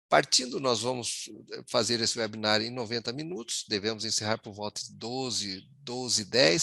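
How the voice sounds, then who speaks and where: A man, moderating a formal webinar over a video call in a measured way, often pausing on fillers. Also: mid-range voice, creaky, sharp tone, no echo. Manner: measured, with frequent hesitation fillers.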